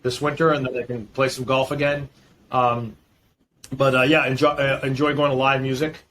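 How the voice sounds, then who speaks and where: A man's voice: medium pitch, little pitch movement, normal speed, fairly bright tone. A middle-aged man, a podcast guest talking in a friendly, loose way with many ums and restarts. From Boston area, US. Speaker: podcast guest, fintech founder. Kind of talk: casual interview chat.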